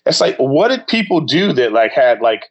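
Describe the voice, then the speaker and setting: A man chatting on a podcast as a guest, jokey and animated, often trailing off and restarting. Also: medium pitch, normal speed. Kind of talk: casual podcast chat. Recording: dull lo-fi sound.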